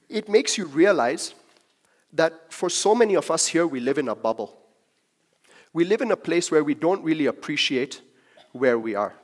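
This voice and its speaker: A young man from Kenya giving a personal talk to a live audience, conversational and joking with the crowd. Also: a little high. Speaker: speaker at a talk.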